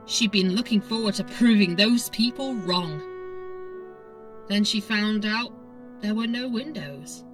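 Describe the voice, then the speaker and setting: Female audiobook narrator, lively and animated dramatic storytelling, slightly off-mic with music underneath. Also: a little high, recorded from a distance. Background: background music.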